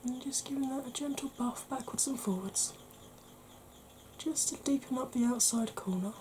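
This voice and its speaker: A woman from the UK, a beauty vlogger talking through a makeup tutorial in a quiet voice that keeps slipping into whispers. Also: high voice, moderate pace, slight background noise. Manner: quiet, soft-spoken, drifting into whispers.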